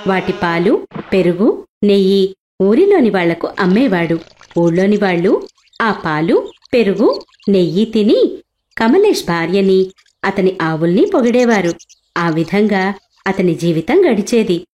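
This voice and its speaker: A woman's voice: medium pitch, very animated, measured pace, pauses often, a little mellow. A woman storyteller reading a scripted devotional moral tale as narration. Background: background music.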